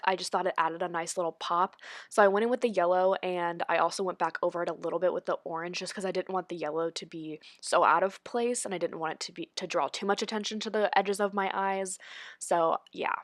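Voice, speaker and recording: A woman's voice: lowish voice, steady tone, brisk, no room sound, clean studio sound. Congested young woman chatting through a tutorial. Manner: chatty, lots of "like" and "honestly". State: congested from a cold. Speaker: beauty vlogger. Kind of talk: casual voiceover tutorial. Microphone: voice-over recorded separately.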